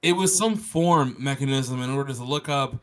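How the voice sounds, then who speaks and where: A man's voice: mid-range voice, moderate pace, fairly bright tone. A man in casual tech banter, blunt and sardonic, repeating phrases for emphasis. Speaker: co-host.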